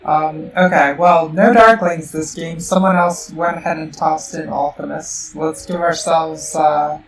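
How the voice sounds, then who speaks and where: A woman streamer with a sore throat talks slowly and with a gravelly edge while she works through a board game out loud, full of ums and restarts. Her voice comes across a little robotic, and the mic sits back from her in a roomy space with a faint hiss behind. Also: deep voice, steady tone, distant mic. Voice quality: slightly robotic-sounding voice. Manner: thinks aloud with many fillers and restarts. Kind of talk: casual live-stream game commentary.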